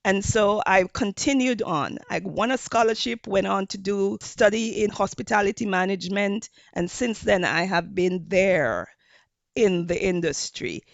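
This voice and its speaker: Jamaican lady professor, kinda gravelly, slow thoughtful answers w/ lots of ums, dull muffled audio. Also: mid-range voice, no echo. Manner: measured, with frequent fillers and restarts. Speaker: hospitality professor, guest. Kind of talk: reflective interview answers.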